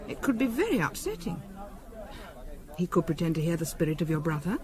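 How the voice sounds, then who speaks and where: A woman's voice: on the low side, sing-song, a little fast, crowd noise. A woman playing an honest, apologetic English friend in a 1970s radio drama, speaking hesitantly and earnestly. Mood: cautious.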